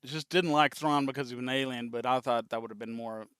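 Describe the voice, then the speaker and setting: A man's voice: medium pitch, fairly even intonation, fast talker, a bit bright, clear, resonant tone, no room sound, clean studio sound. A man giving casual fan reaction commentary as host of a reaction video.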